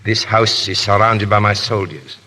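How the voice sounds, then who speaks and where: An actor playing a stern, sardonic French Revolutionary agent in a formal, commanding manner in a 1930s radio drama. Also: male voice, lowish voice, level tone, normal speed, bright, forceful tone, a little hiss or hum. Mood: coolly triumphant. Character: stern, menacing male French Revolutionary agent, coolly triumphant. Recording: muffled-edged vintage 1930s radio broadcast.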